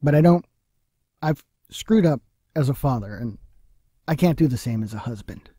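A man acting in an audio drama as a troubled, remorseful middle-aged husband, speaking haltingly. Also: mid-range voice, expressive, warm tone, slightly rough, no echo, clean studio sound.

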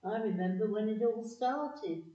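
A woman playing a frail, gentle elderly grandmother in a community audio play, halting, wistful and apologetic. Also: medium pitch, distant mic, natural voice. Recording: muffled echoey room, away from mic.

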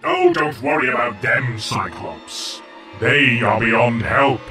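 A possessed professor, voiced by a man, measured and falsely polite, with a bright, forceful tone. Music plays under the scene. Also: mid-range voice, huge pitch swings, vocal fry, full character voice. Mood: sinister. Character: possessed mentor professor, calm and sinister.

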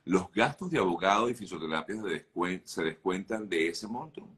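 A man in his fifties hosting an interview on a video call, reading viewer questions in a steady, brisk way. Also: low voice. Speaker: host, journalist. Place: home office. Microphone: video call webcam.

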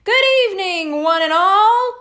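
Storybook stage hypnotist, male, showy and commanding, smooth, drawn-out delivery, played by a woman. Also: extremely high pitch, lively intonation, bright, forceful tone, voice pushed up, heavily acted. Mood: showy, jovial. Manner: theatrical, slow and deliberate.

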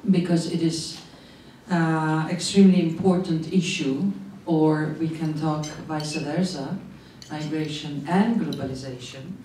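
Slow middle-aged Czech-accented woman panelist. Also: deep voice, fairly even intonation, dark tone, a little hiss or hum. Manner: slow, searching for words, apologetic. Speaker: panel speaker, migration expert. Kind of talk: panel talk. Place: conference room, seated at panel table. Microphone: handheld mic. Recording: echoey room, distant sound.

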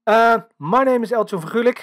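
A man's voice: extremely high pitch, lively intonation, fairly quick, a bit bright. A man from the Netherlands with a Dutch accent, giving a technical conference presentation over slides in an explanatory way, with many filler sounds. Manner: explanatory, filler-heavy with frequent uhs. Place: voice-over for screen recording and slides.

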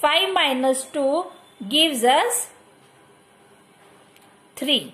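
A woman's voice: high voice, a bit bright. A woman teacher with an Indian accent who explains a maths exercise step by step, mixing Hindi and English. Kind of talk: maths lesson over a workbook.

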